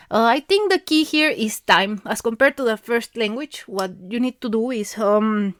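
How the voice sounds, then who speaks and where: Mexican-accented woman, native Spanish speaker, high-ish voice, halting with fillers and little laughs, dead dry sound. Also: fairly bright tone, no room sound. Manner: hesitant, many fillers and restarts, frequent light laughs. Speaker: podcast host. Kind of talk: casual monologue.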